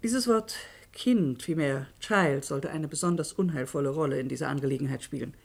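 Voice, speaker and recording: Middle aged lady academic for a scifi radio drama, slightly low pitch, precise formal lecturing, serious and cautionary. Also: brisk, creaky, darkish tone. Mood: grave, lecturing. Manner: articulate, formal, didactic. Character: middle-aged woman academic, measured, earnest, firmly cautionary seminar leader. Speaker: actor in a radio play. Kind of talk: German science-fiction radio drama acting, lecturing.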